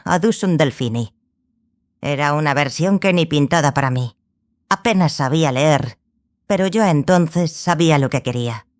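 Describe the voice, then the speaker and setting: A woman playing a stern, obsessive scholarly sorceress in a dramatized audiobook, speaking gravely and deliberately, with pauses. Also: low voice, a little mellow, natural voice. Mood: wistful, bitter.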